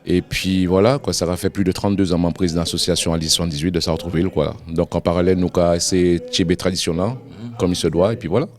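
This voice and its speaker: A man with a very deep voice, from the French Caribbean, answers a reporter's questions. He talks fast and in halting bursts, circling back and repeating himself. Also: steady tone, darkish tone. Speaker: association president. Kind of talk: interview answers.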